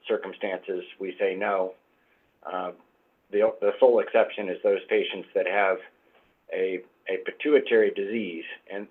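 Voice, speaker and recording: Man, husky and hoarse from a cold, lowish voice, measured explaining, phone-like sound. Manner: measured, explanatory, frequent fillers. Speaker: guest doctor. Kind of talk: expert explanation in interview. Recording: tinny phone-like line.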